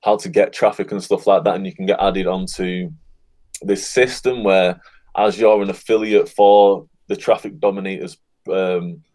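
A man being interviewed as a guest on a podcast, talking in a relaxed, encouraging way. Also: deep voice, moderate pace. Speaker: guest, affiliate marketer. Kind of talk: casual interview chat.

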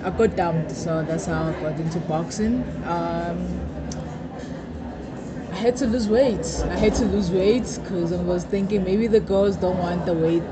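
Young woman, casual interview guest, laughs a lot, loud crowd and traffic behind. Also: fairly low pitch, a little slow. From born in South Africa, grew up in Zimbabwe. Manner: laughs often, tags sentences with "hey". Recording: busy crowd noise behind.

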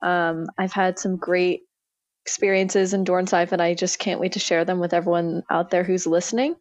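A woman's voice: fairly low pitch, flat delivery, normal speed, no room sound. A young woman student from Oregon, a guest on a podcast, speaking in an eager, upbeat way. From Oregon, USA. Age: young adult. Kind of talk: podcast interview.

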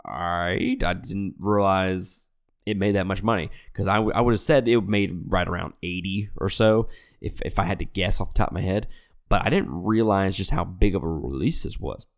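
Low-voiced, congested male podcast host. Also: normal speed. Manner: rambling, self-correcting, frequent restarts; loose, profane, conversational. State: nasal and congested from illness. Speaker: podcast host reviewing movies. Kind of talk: casual chat.

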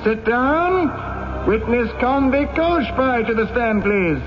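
A commanding judge in an old radio play. His voice is pitched high for a man and declaims with formal, official order. The sound is dull and band-limited. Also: lively intonation, a little slow, over music. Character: formal, commanding male judge presiding in court. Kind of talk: old-time radio drama acting.

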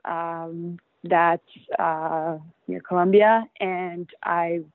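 A young woman in her twenties talks in a slightly low, hesitant voice, full of ums and soft laughs. Her voice comes through a narrow, tinny phone-like line with a dry, close sound. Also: fairly even intonation, extremely slow, no echo. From Venezuela. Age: 20s. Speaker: interviewee. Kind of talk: casual interview answers.

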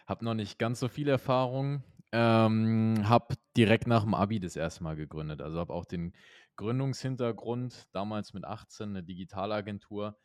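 Young startup founder guy, really low voice, dry close mic, talks business smoothly. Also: normal speed, no room sound. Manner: fluent, explanatory business talk. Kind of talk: podcast interview answers.

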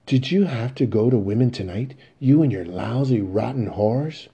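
A man's voice: mid-range voice, moderate pace, dark tone, breathy edge, voice pushed up, natural voice. A man voicing a furious, wounded mother who scolds her grown sons in an emphatic, commanding way. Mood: outraged.

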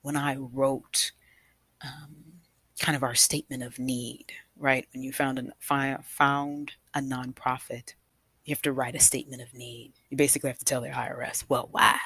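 A woman's voice: a bass voice, level tone, moderate pace, fairly bright tone, husky, no echo. A woman telling her personal story to an audience as a guest storyteller, reflective and emphatic in her delivery. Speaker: guest storyteller, nonprofit founder.

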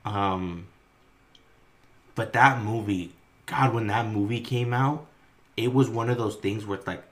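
A man co-hosting a casual podcast, telling animated family stories with plenty of swearing. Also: on the low side, slow pace. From Greek-American family. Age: adult. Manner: animated, profane storytelling, swings between loud and quiet. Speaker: podcast co-host. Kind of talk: casual chat.

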